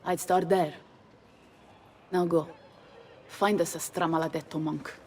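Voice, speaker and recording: An actress playing a confident, commanding Italian noblewoman with an Italian accent and a brisk, teasing manner. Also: on the low side, fairly even intonation, darkish tone, slight background noise, close to an everyday voice.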